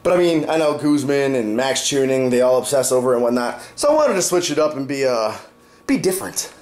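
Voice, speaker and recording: A man's voice: medium pitch, big pitch swings, brisk, a little mellow. A young man vlogging casually to his viewers, stuffed up from a cold and partly losing his voice, explaining things step by step. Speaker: fitness vlogger. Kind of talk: casual vlog talk to camera.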